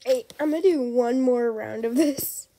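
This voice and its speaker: A woman's voice: a little high, dark tone. A young woman with a congested voice, casually chatting as she plays a game for her channel. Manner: chatty, slow-paced, says "like" often. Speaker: gaming channel host. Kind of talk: casual gameplay commentary. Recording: noticeable background noise.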